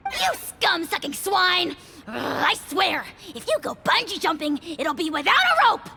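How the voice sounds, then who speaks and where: A tiny, self-important dragon lord spits grandiose insults in a very high, gravelly, piercing voice. His pitch leaps wildly from word to word, with faint game ambience underneath. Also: sing-song, unhurried, sharp tone, slight background noise, full character voice. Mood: threatening. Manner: grandiose insults, blustering tirades. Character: tiny pompous male dragon lord, hot-tempered.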